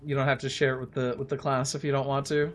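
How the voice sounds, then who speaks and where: A man's voice: mid-range voice, flat delivery, quick pace. Casual man livestreaming over music. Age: late thirties. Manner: friendly, chatty, thinks aloud. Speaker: live streamer playing a game. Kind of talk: casual livestream chat with viewers while playing.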